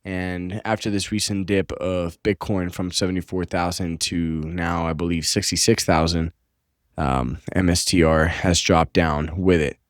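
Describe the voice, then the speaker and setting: A man's voice: low-pitched, steady tone, a little slow, no room sound, clean studio sound. A young man with a slightly stuffy, under-the-weather voice, casually talking through market charts with lots of fillers and restarts. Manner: loose, conversational, many fillers and restarts. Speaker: finance channel host. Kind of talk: casual commentary on screen charts.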